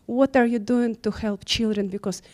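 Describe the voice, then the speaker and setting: A woman's voice: fairly high pitch, fairly even intonation, moderate pace, darkish tone. A woman from Ukraine with a Ukrainian accent, earnestly asking a panel a question in non-native English. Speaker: audience member asking a question.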